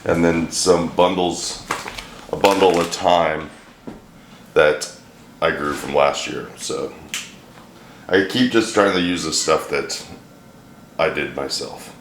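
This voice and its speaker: Brief: middle-aged man, very low and a bit hoarse, rambling dry humor, echoey home kitchen with faint hiss. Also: slow, pauses often. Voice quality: deep, a little hoarse from a throat bug. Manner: joking, rambling, dry self-deprecating humor. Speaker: vlogger, home cook. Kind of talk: casual cooking vlog chat.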